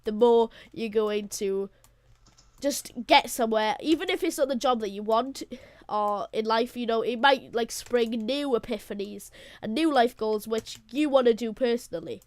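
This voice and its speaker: A woman's voice: fairly high pitch, normal speed, no echo. Giggly Welsh teen girl, dry mic. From Wales. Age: teens. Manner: rambling, giggly, talks to viewers as "guys". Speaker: co-host. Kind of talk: casual chat.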